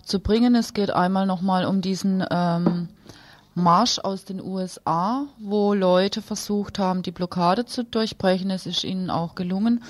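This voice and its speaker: A woman's voice: mid-range voice, steady tone, measured pace, background noise. A woman presenting and reading the news on a radio programme.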